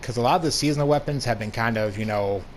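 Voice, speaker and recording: A young man with a hoarse voice from allergies, casually talking through a game review as the channel's host. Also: mid-range voice, fast talker, slight background noise. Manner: laid-back, plain-spoken, rambling asides. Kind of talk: casual gameplay commentary.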